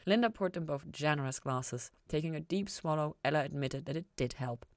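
Lowish female story narrator, dry sound. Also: a little fast, no room sound. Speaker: podfic reader and podcast host.

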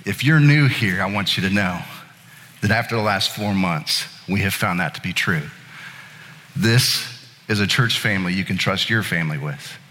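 A man's voice: sits low, moderate pace, sharp tone. A man in his late thirties from Indiana, a pastor telling a folksy, self-deprecating story in a casual sermon. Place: church sanctuary. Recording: church hall with long reverb.

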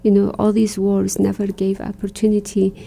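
Gravelly, dark-voiced woman from Iraq. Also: medium pitch, completely flat delivery, normal speed. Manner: reflective, earnest, many fillers like "you know". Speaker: religious sister, guest. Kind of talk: conversational interview.

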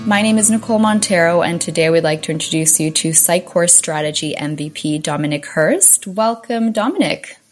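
A woman's voice: fairly low pitch, brisk, hardly any pauses, faint music behind. A woman from Argentina hosting a podcast interview, asking questions in a friendly, upbeat way.

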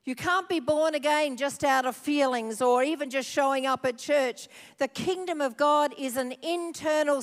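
A woman pastor preaching a sermon, emphatic, repeating her phrases for effect. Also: high-pitched. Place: church hall. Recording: long hall reverb.

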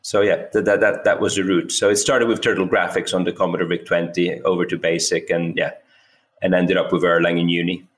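A middle-aged man from Italy speaks with a low voice and an English accent that blends British with American and Swedish traces. He tells his career story as a guest in an unhurried, thoughtful way, repeating words and scattering "you know" through his sentences. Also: level tone, slight background noise. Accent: mixed British, American and Swedish English. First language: Italian. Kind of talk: casual interview, recounting his career.

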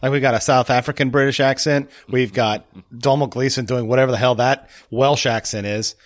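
Mid-pitched man, mild Southern accent. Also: steady tone, fairly quick. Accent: mild American Southern. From Tennessee, USA. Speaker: podcast host. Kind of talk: casual chat.

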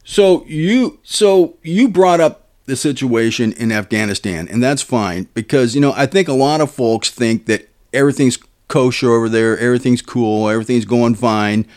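A man's voice: mid-range voice, normal speed, hardly any pauses, darkish tone. A man hosts a podcast in a mid-range voice. He asks long, rambling questions, restarting often and padding them with fillers. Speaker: podcast host. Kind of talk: casual podcast interview.

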